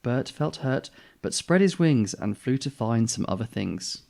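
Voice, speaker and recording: A man's voice: medium pitch, animated, unhurried, vocal fry, darkish tone. A man reading a children's story aloud expressively, as the host of a read-aloud. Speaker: storybook reader, channel host.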